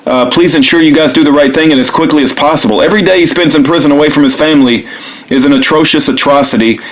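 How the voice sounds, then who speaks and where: A man speaking informally and earnestly, as if leaving a phone message or narrating one, in a halting, repetitive, pleading way. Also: fairly high pitch, poor audio. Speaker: activist video maker recording himself making a phone call.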